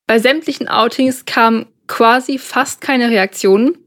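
A woman's voice: a little high, unhurried, fairly bright tone. A young woman co-hosting a podcast, speaking earnestly and carefully about her own experiences. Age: young adult. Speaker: podcast co-host. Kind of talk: casual podcast conversation.

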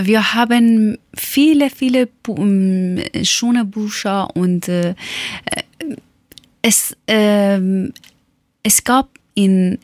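Halting woman with a Dari accent. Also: medium pitch, dry recording. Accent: Afghan Persian (Dari). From Afghanistan, Herat. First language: Persian (Dari). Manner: halting, searching for words, many fillers and self-corrections. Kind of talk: casual personal account.

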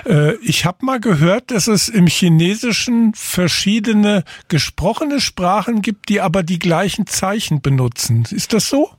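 A male radio host with a high voice asks short, plain questions. The sound is dry and close, with no room around it. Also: animated, a little slow, few pauses, dry recording. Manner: short questions and brief acknowledgements. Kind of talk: radio interview.

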